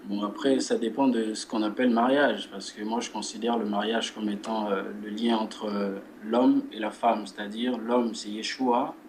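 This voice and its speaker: A man, a guest who speaks in a measured way and leads a spoken prayer. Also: lowish voice, fairly even intonation, a little mellow, slight background noise. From Democratic Republic of the Congo. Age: 20s. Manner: measured, repeats words while searching for phrasing. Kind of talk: religious interview and spoken prayer.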